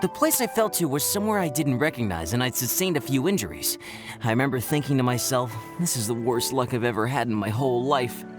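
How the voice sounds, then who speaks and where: An earnest young male adventurer, voiced by an actor in a video game. His voice is mid-pitched and swings around in a lively way, with soft music behind. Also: fast talker, lightly acted. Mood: recounting. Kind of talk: anime-style video game voice acting.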